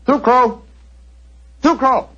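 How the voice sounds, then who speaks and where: A man acting a brilliant, self-assured English gentleman detective in a 1940s radio drama, with a British accent and precise, theatrical delivery. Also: very high-pitched, fairly even intonation, slow pace, noisy background. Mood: calling out. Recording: muffled, dull 1940s radio recording.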